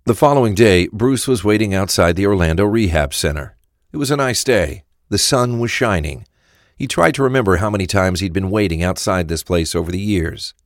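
A man's voice: sits low, a little fast, no echo, studio quality. A man reading scripted narration for a crime audio drama.

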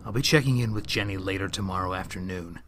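A man playing a gruff, dismissive police lieutenant in an audio drama, curt and skeptical. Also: deep voice, fast talker, a bit bright, slight background noise. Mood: dismissive, warning.

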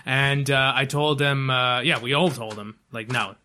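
A man's voice: medium pitch, a little slow, pushed and bright, slight background noise. A man, a comedian, teasing his friends and laughing often in casual podcast banter.

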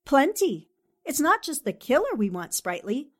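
Woman playing 1940s radio newspaperwoman, highish voice, very animated, brisk, determined, commanding. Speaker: actor in an amateur radio drama re-creation.

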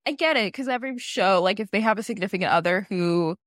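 A young woman co-hosting a podcast, gossiping in a rambling, animated way full of "like"s. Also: medium pitch, quick pace, dry recording, clean studio sound. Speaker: podcast co-host. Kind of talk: casual chat.

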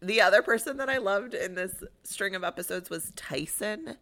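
A woman's voice: mid-range voice, moderate pace, a bit bright. A young woman co-hosting a podcast, chatting casually and laughing easily as she explains her points. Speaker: podcast co-host. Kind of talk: casual chat recapping a reality show.

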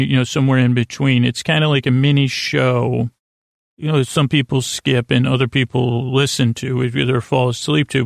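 Gravelly, meandering man hosting a bedtime podcast. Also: medium pitch, dry recording, studio quality. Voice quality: creaky, gravelly. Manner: halting, digressive, with repeated stumbles and self-interruptions. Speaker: podcast host.

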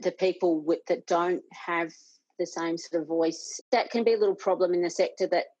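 A woman's voice: on the low side, steady tone, moderate pace, a little mellow, dry recording, muffled recording. A middle-aged Australian woman, a parent and board member, speaking earnestly in an interview with many restarts. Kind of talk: conversational interview.

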